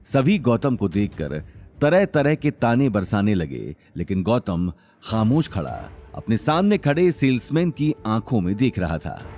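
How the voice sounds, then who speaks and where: A man's voice: fairly low pitch, big pitch swings, unhurried, a little mellow, faint music behind. A man narrating a scripted audio drama in a dramatic storytelling style.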